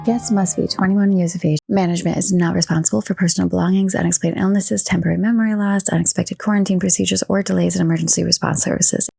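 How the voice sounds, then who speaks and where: A woman's voice: lowish voice, fairly even intonation, quick pace, creaky, hardly any pauses, mellow, breathy edge, full character voice. A woman playing an alluring, polished casino announcer in a scripted radio advertisement with a sultry, inviting delivery. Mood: brisk disclaimer. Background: jazz piano music.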